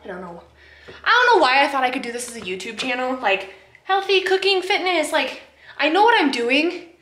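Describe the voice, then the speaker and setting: Young woman, casual cooking vlog, self-deprecating chatter, bright voice, echoey home kitchen, camera mic from afar. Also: high voice, very animated, brisk. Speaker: cooking vlogger. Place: small home kitchen, indoors. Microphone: camera across the kitchen.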